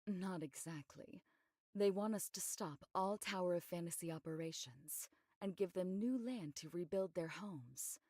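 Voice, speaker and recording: A voice actress playing a composed, discreet female investigator in an anime game, measured and matter-of-fact as she explains. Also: lowish voice, dark tone, no room sound, studio quality. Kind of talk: scripted anime game voice acting.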